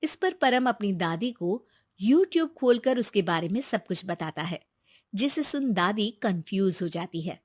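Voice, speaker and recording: A woman's voice: medium pitch, animated, unhurried, dry recording, studio quality. A woman narrating a scripted moral story as its storyteller.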